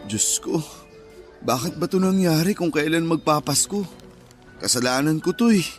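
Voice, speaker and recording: A man playing an emotional, guilt-ridden father who is quick to anger, in a radio drama. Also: a little high, animated, faint music behind, lightly acted. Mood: anguished, guilty.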